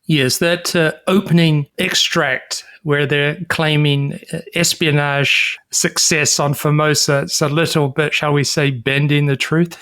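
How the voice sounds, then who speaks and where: Man, podcast co-host, higher voice, wry, thoughtful. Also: flat delivery, unhurried, a bit bright. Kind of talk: casual chat.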